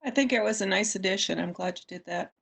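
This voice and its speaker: A woman's voice: mid-range voice, fast talker, vocal fry, fairly bright tone, slightly rough. A woman on a review panel, asking questions and giving feedback in a conversational style.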